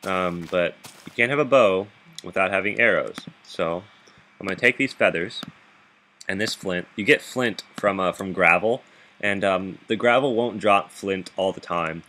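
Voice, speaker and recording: A man with a very deep voice giving rambling gameplay commentary from his bed into a laptop microphone, chuckling often and restarting his sentences. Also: a little slow, bright, forceful tone, poor audio. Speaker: gaming video host. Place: bedroom, sitting up in bed.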